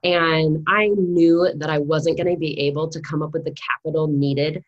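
A woman's voice: low-pitched, fairly even intonation, moderate pace, highs cut off. A woman in her thirties with an American accent, speaking as a podcast guest in a conversational storytelling style. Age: 30s. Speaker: podcast guest, real estate investor.

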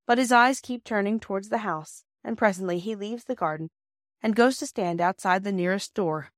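Woman reading a story aloud, measured n expressive, middle pitch, totally dry audio. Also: no echo. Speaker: audiobook narrator. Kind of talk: scripted storybook narration.

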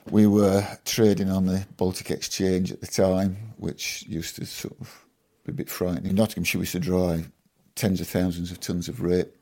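A man in his sixties from Yorkshire, a farmer being interviewed on a podcast, telling stories in a measured, modest way with dry humour. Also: low voice, level tone, mellow. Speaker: guest farmer. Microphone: podcast microphone.

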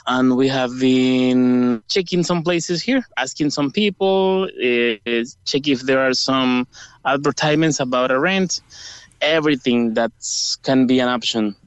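A man from El Salvador with a Spanish accent, calling a radio show by phone and answering earnestly in halting English. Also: medium pitch, smooth, no echo. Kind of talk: conversational interview answers. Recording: dull phone line.

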